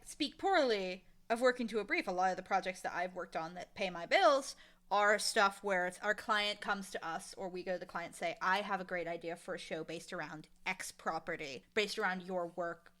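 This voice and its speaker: Bright, animated woman chatting as a guest. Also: mid-range voice. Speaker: audio drama showrunner and writer, interview guest. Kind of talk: casual interview chat.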